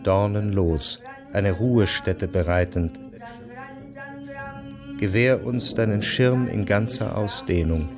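A slow, measured male voice recites ancient hymns with solemn weight. The pitch sits on the low side, and music plays clearly behind this radio drama incantation.

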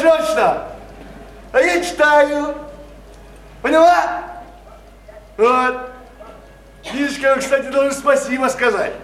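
High-voiced, boisterous, crude provincial man. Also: a bit bright, slight background noise, heavily acted. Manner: mocking, badgering, boastful, repeats himself, exclaims and chuckles. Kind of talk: theatrical radio play acting. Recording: echoey stage-like radio play, music behind.

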